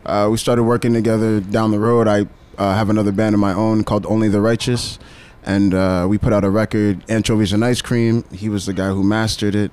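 A man from Brooklyn, a percussionist guest, speaking in a relaxed way with fillers like "uh". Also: on the low side, moderate pace, few pauses, clean tone. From Brooklyn, United States. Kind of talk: casual conversational interview.

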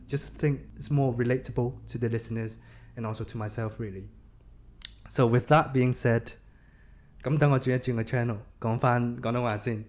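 A man's voice: mid-range voice, darkish tone. A man hosting a casual podcast, chatting in an easygoing way and mixing English words into his talk. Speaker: podcast host.

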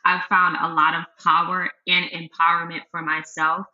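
Woman guest, earnest and reflective, lowish voice, lo-fi sound. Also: little pitch movement, moderate pace, bright, forceful tone. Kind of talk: casual conversation. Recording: dull lo-fi sound.

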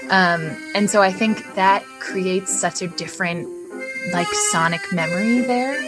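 A woman's voice: fairly low pitch, a little slow. A young adult woman giving a reflective interview answer as a scholar, thoughtful and searching, with many ums and likes. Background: soft background music.

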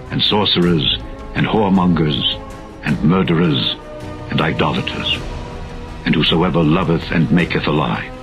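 A man narrating a dramatized scripture reading in a solemn, measured, formal manner. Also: low-pitched, fairly bright tone. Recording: dull lo-fi audio with music behind. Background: background music.

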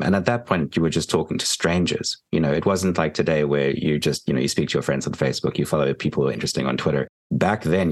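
South African man, 40s, very low voice, reflective podcast guest. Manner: reflective, with frequent ums and restarts. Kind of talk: podcast interview.

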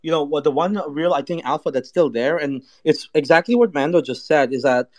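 A man's voice: fairly high pitch, lively intonation, a little fast. A man chatting casually as a panelist, slangy and free with swearing. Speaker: panelist on a crypto talk show.

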